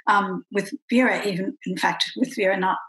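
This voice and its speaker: A woman's voice: mid-range voice, fairly even intonation, normal speed, fairly bright tone, low-quality recording. Rambling, reflective woman interviewer. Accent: American. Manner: reflective, rambling, many restarts and fillers. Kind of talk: conversational interview.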